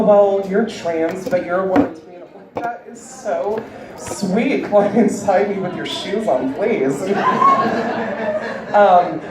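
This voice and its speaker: A woman with a deep voice doing a stand-up comedy set with a wry, deadpan delivery, heard on stage before a live audience. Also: background noise. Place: large indoor venue with live audience. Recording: echoey hall recorded from far off, loud crowd. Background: audience cheering and laughing.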